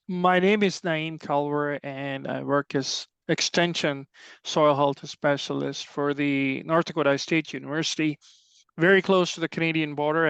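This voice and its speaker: A man's voice: on the high side, creaky, a bit bright, clean tone, no echo. A middle-aged man with a Pakistani accent, giving an informal technical presentation in an explanatory, conversational way. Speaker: extension soil health specialist, presenter. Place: online webinar call.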